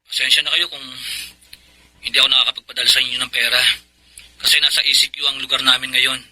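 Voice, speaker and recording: A very sharp, bright-voiced absent father in a radio drama. He talks quickly, evasive and apologetic. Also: medium pitch, little pitch movement, slight background noise, low-quality recording.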